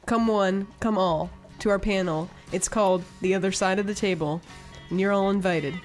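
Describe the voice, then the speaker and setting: A woman's voice: medium pitch, steady tone, moderate pace, soft background music. A woman co-hosting a casual talk show, upbeat, chatting and reading out event announcements. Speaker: podcast co-host. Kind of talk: casual chat.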